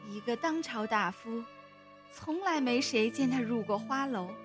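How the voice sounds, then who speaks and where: A woman's voice: fairly high pitch, expressive, a little slow. Woman acting a worried elder sister, music behind. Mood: puzzled, probing. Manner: gentle, sighing, solicitous. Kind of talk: Chinese costume audio drama acting. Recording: music laid over the voice.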